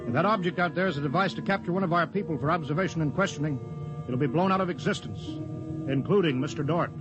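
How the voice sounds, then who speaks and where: An actor playing a stern, cautious starship captain with clipped, authoritative delivery in a mid-century radio drama. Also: male voice, on the high side, music in the background, natural voice. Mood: commanding, terse. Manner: clipped, authoritative, deliberate orders. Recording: noisy, dull old radio recording.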